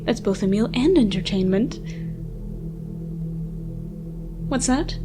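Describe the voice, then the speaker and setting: A woman playing a haughty, sardonic ancient vampire with a soft side, speaking in wordy mock-formal lines in a dramatic ASMR roleplay. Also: a little high, animated, normal speed, close to an everyday voice. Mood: gloating, amused. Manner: theatrical, wordy, dry mock-formal speech. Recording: music behind the voice. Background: music.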